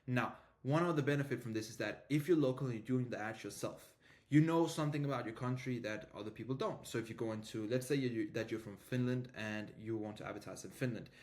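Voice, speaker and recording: Male business coach, very quick delivery, mid-range pitch, informal explanatory tutorial style. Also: a little mellow. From Denmark. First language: Danish. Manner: repetitive, explanatory, frequent "you know". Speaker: marketing agency coach.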